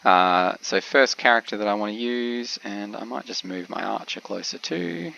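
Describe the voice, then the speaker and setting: A man with a cold, sounding a little congested, giving relaxed game commentary with frequent pauses. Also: on the low side, vocal fry, muffled recording. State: has a cold, slightly congested. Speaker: gameplay commentator.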